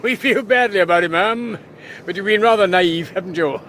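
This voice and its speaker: An actor playing a courteous, condescending British gentleman crook in a radio play. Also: high voice, moderate pace. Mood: smug, courteous. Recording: outdoor vehicle background noise.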